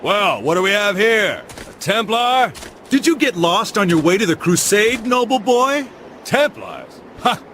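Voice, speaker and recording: Brief: a scornful game bully, high, swooping, mocking, slight noise behind. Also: male voice, very animated, a little slow, fairly bright tone, heavily acted. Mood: scornful jeering. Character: sneering bully taunting a young noble.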